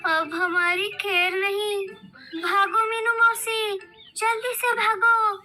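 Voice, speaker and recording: A woman's voice: very high voice, level tone, slow, bright, forceful tone, heavily acted. A woman voicing an anxious, naive young female bird in a children's cartoon, whiny and pleading. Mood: frightened, pleading. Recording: noticeable background noise.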